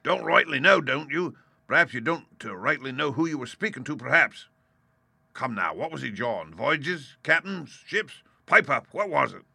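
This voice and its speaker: A man's voice: mid-range voice, moderate pace, vocal fry, pushed and bright, no echo, pitched above the performer's own voice. A man voicing a cheerful, cunning one-legged sailor turned tavern landlord in an audiobook, hearty and blustering with salty turns of phrase. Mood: stern, mocking. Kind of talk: audiobook character voice.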